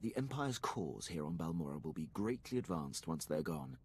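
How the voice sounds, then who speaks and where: Eager military officer, video game, deferential, formal, very low, animated intonation, precise. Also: male voice, normal speed, husky, exaggerated voice. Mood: dutiful.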